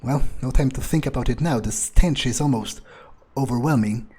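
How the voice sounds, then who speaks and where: A man roleplaying a jaded, foul-mouthed criminal turned fledgling vampire in an improvised game playthrough, halting and sardonic. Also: mid-range voice, a little mellow, husky, close to an everyday voice. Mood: hesitant.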